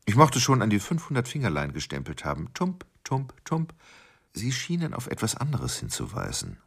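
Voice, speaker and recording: Deep gravelly man, seething and brooding. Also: wildly swooping pitch, moderate pace, heavily acted. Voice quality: low, gravelly, husky. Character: brooding, seething male notary clerk with murderous rage. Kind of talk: radio drama inner monologue.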